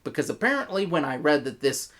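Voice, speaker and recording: A woman with an American accent giving a scripted review in an animated manner, with bursts of mock-exasperated emphasis. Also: deep voice, quick pace. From United States. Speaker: audio drama reviewer.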